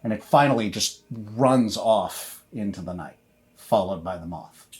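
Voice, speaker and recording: A man acting as game master, narrating a tabletop horror game in a conversational, improvised, halting way. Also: fairly low pitch.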